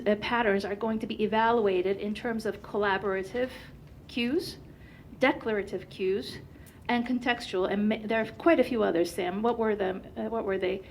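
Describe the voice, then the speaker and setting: A woman whose first language is Turkish, giving a conference presentation in an animated way with many fillers. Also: a little high, slight background noise.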